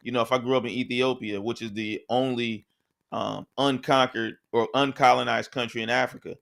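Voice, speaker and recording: A man's voice: medium pitch, steady tone, normal speed, creaky, fairly bright tone. A man co-hosting a podcast, talking in a casual, conversational way and often checking in with "right?". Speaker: podcast co-host. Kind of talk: casual discussion.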